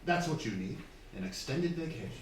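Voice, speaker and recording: A man's voice: mid-range voice, animated, fairly quick, far from the mic, close to an everyday voice. An actor playing a polite, earnest younger clergyman, deferential and courteous, in a staged radio drama. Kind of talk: staged old-fashioned radio drama acting. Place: theatre hall, distant microphone. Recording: very echoey stage recording, faint noise.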